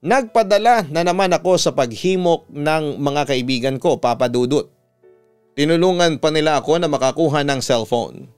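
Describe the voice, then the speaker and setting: Smooth Filipino male storyteller over music. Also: a little high, normal speed, darkish tone. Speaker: storyteller, radio announcer. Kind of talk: scripted dramatic narration of a listener's letter.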